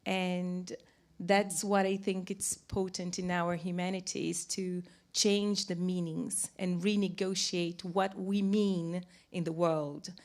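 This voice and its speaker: Woman, Brazilian accent, teacher, slow, gravelly, lowish voice, playful. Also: flat delivery. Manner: warm, playful, slow and deliberate. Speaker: teacher and panelist. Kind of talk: live panel discussion.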